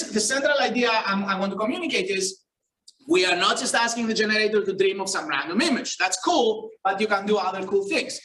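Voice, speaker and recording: A man's voice: high-pitched, sharp tone. A man with a Greek accent giving a casual conference lecture, with frequent fillers and self-corrections. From Greece. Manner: casual, self-deprecating, many fillers and restarts. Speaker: keynote speaker, researcher. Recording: roomy, distant hall sound.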